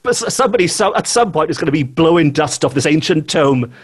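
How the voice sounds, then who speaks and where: A man's voice: a little high, animated, fast talker, breathy edge. A man hosting a podcast in a casual, chatty, self-deprecating way. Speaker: podcast host.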